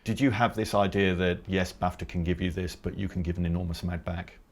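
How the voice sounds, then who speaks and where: A man interviewing, asking measured and slightly hesitant questions. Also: low-pitched, little pitch movement.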